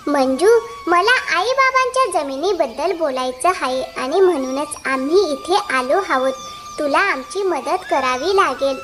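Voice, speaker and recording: A very high-voiced, scheming elder village sister played by a woman in a cartoon moral tale. She is insistent and persuasive, always pleading her case, with faint music underneath. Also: expressive, unhurried, fairly bright tone. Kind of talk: animated moral story dialogue.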